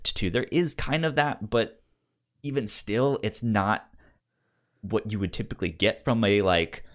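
A man with a low-pitched voice talks casually as a podcast host. His delivery is halting, with stammered syllables and repeated words in a loose, conversational flow.

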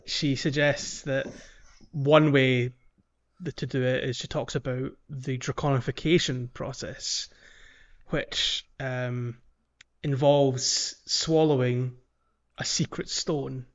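Male podcast co-host, mid-pitched and halting, casual game chat, dull lo-fi sound. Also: steady tone. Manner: hesitant, halting, searching for words. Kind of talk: casual video game discussion.

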